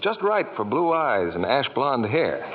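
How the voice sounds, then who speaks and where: A man's voice: mid-range voice, very animated, measured pace. A male announcer delivering polished, scripted advertising in 1940s radio style. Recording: muffled 1940s radio, reverberant stage.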